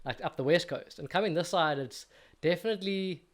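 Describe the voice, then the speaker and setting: A man hosts a podcast, talking quickly in a slightly high voice. His manner is eager and agreeable, casual and full of slang. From South Africa. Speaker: podcast host. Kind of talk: casual conversation.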